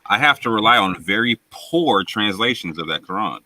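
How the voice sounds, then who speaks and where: A man debating forcefully on a live call, repeating himself to hold the floor. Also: on the low side, lively intonation, moderate pace, no room sound, low-quality recording. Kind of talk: heated debate.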